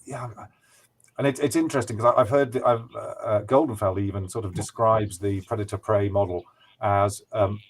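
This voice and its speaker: A man's voice: fairly low pitch, steady tone, normal speed. A man taking part in a casual online discussion, hesitant and reaching for his words. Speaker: discussion participant.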